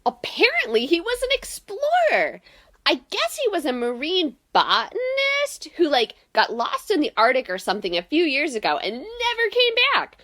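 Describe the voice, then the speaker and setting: A woman playing an eager, excitable young female student assistant in an audio drama, gushing and keen to please. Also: high voice, moderate pace, full character voice. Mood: animated storytelling.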